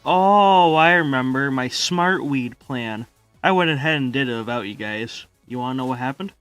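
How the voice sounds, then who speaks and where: A man's voice: medium pitch, moderate pace, a bit bright, clear, resonant tone, no echo, soft background music, natural voice. A man voicing a goofy, boastful stoner echidna in an amateur comic dub, rambling in slang. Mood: carefree, oblivious. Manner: rambling, slangy, says lol aloud.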